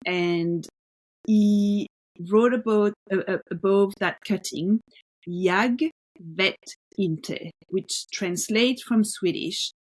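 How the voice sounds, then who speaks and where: A woman's voice: medium pitch, slow pace, poor audio. A woman co-hosting a podcast, talking casually about an art exhibition, explaining at length with many fillers and restarts. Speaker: podcast co-host.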